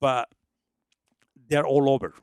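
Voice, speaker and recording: A man's voice: medium pitch, fairly even intonation, slow pace, smooth, no room sound. A man in his fifties from Peru with a Peruvian Spanish accent, a shaman guest in a casual podcast interview, rambling and often asking "you know what I mean?". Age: 50s.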